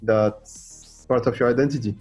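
A man's voice: medium pitch, normal speed, soft background music. A middle-aged man with a French accent, a guest artist in a radio interview, talking animatedly, firing rhetorical questions and acting out what others said. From France. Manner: animated, fires rhetorical questions, acts out quoted voices.

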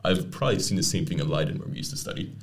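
A man's voice: low-pitched, animated, very fast, vocal fry, a little hiss or hum. A young man co-hosting a podcast, chatting casually and sharing fun facts with growing enthusiasm. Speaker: podcast co-host. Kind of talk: casual chat.

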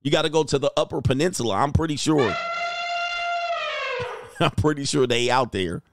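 A man's voice: on the high side, wildly swooping pitch, a little slow, noisy background. A man hosting a casual livestream as a dating coach, reading viewer comments aloud in a joking, rambling way and laughing often. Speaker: dating coach and show host.

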